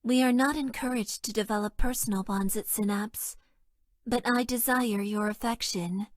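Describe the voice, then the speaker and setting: A woman voicing a detached, self-deprecating young female mecha pilot in a video game, with deadpan, stilted and hesitant delivery. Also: a little high, normal speed, no echo, natural voice. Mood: shy, tender. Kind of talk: video game character voice lines.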